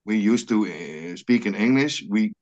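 A Frisian man leading a scripture study on a video call, speaking English with many halting restarts and repeated words. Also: lowish voice, animated, a little mellow, highs cut off. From Netherlands. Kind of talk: online religious study group discussion.

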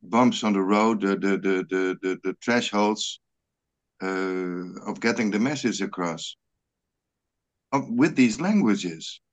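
A man whose first language is Frisian leads an online scripture study in English. His voice sits low and he talks very slowly, often stumbling over repeated words. The sound comes through a video call. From Netherlands. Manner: halting, many repeated words and restarts. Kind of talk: online religious study group discussion.

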